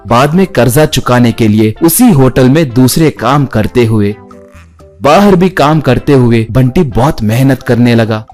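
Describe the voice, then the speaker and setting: A man's voice: medium pitch, measured pace, darkish tone, faint music behind. A man reading scripted narration as the storyteller of a cartoon.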